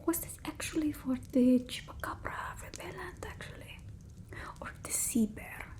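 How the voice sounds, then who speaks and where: A woman voicing a quirky, forgetful vampire potion-maker in a put-on Eastern European accent, breathy and rambling in soft ASMR style. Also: high-pitched, pitched above the performer's own voice, lightly acted. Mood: forgetful musing. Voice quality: breathy, whispery. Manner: rambling, absent-minded muttering, slow and halting. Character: quirky forgetful female vampire potion-maker with old-world folk remedies. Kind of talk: ASMR roleplay, whispered and soft-spoken.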